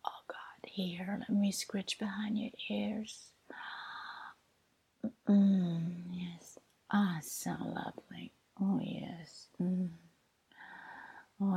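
A woman performing an intimate audio roleplay, speaking softly and tenderly, often in a whisper. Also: mid-range voice, vocal fry, slightly rough. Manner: soft, soothing, often whispered; tender, doting.

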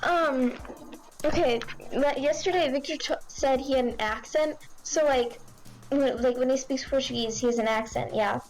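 A woman's voice: sits high, normal speed, low-quality recording. A young woman chatting casually, rambling with lots of "like" and restarts. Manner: rambling, heavy use of "like". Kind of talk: casual chat.

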